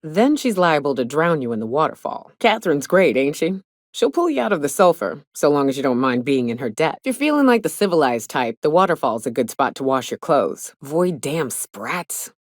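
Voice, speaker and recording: Cynical game huntress, low pitch, lively intonation, wry, blunt, rustic plain talk. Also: female voice, a little fast, dry recording, clean studio sound. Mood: dry, fond. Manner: wry, plainspoken, swearing, folksy rustic phrasing. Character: blunt, cynical frontier huntress and wilderness guide, adult woman. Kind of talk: video game character dialogue.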